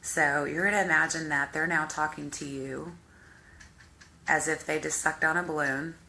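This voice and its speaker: A woman hypnotherapist with a deep voice, guiding the listener through a visualization in slow, calm, step-by-step instructions. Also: vocal fry, a little hiss or hum. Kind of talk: guided visualization exercise.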